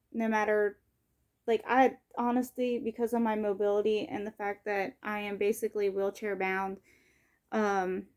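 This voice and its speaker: A woman's voice: mid-range voice, darkish tone. Rambling young woman vlogging casually. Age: 20s. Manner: rambling, heavy use of "like" and "um", frequent self-corrections. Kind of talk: casual personal vlog.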